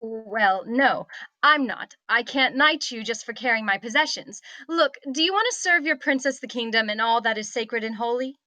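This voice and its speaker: Woman acting a haughty high-voiced young princess. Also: animated, moderate pace, sharp tone, no echo, rough recording. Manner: commanding, pompous. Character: imperious young princess, haughty and grandly self-important. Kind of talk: comedic screenplay table read.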